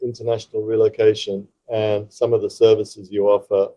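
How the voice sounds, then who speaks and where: A man's voice: lowish voice, flat delivery, normal speed, dark tone. A man hosting an interview podcast in a polished, courteous presenting style. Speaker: podcast host.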